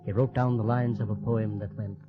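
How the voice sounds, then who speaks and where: A man's voice: on the low side, fairly even intonation, brisk, over music, close to an everyday voice. A man narrating a vintage radio drama in a solemn, lyrical, scripted storytelling style. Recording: muffled vintage radio recording.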